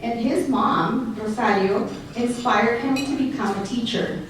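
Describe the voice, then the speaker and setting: A middle-aged woman with a Mexican Spanish accent and a slightly high voice gives a speech at a banquet, reading prepared remarks and breaking off for joking asides. She is heard from well back in a big hall, with strong echo and faint room noise behind her. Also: a little slow. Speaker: school district director introducing an award nominee. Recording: echoey hall recorded from far off.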